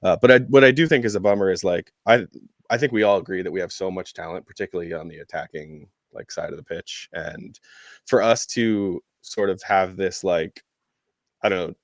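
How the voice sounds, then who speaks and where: A man with a low voice talks through sport as a podcast co-host. His delivery is casual and rambling, feeling its way through each point. The sound is close and dry. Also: no echo. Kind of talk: casual sports chat, match recap.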